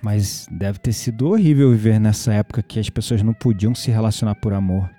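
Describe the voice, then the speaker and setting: An actor playing an intrigued, gently teasing young Brazilian man in an audio drama. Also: fairly low pitch, fairly quick, soft background music, close to an everyday voice.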